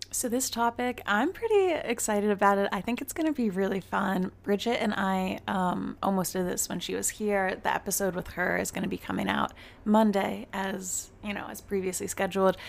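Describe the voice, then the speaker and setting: A young woman in her twenties hosting a casual solo podcast, her voice raspy from just waking up, chatty and giggly with many fillers. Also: medium pitch. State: raspy morning voice. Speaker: podcast host.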